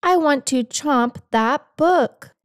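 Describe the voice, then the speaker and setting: A woman's voice: sits high, slow pace, dry recording, clean studio sound. A woman voicing a grumpy, dramatic, self-pitying house cat with sarcastic, theatrical complaining in a picture-book read-aloud. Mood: bored, mopey.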